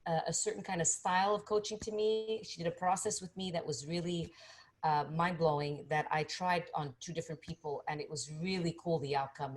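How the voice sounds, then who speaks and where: A woman with a slightly low voice hosts an interview show in a casual, upbeat way. She speaks with warmth of interest but stumbles often, filling gaps with ums and uhs as she introduces her guest. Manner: enthusiastic, halting with many fillers. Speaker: podcast host.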